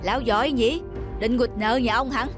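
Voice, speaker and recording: A man's voice: very high voice, fairly even intonation, normal speed, over music. A man playing an arrogant, greedy rich landlord in a cartoon folk tale, haughtily barking orders. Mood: domineering.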